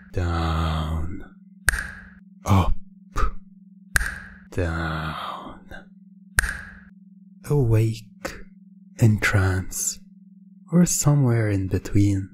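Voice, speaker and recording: Male hypnosis ASMR performer: unhurried, drawn-out delivery, mellow and breathy, teasing yet commanding. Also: lowish voice. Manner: deliberate, pausing, teasing, commanding. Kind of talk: hypnosis ASMR, slow scripted patter.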